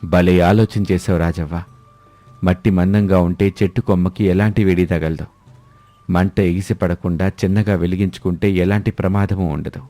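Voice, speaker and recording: Man playing proud village man, low mellow voice. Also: fairly even intonation, moderate pace, no echo, faint music behind, natural voice. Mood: admiring, proud. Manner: emphatic, admiring, earnest. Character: proud, supportive village family man praising his kin. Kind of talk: animated moral story dialogue.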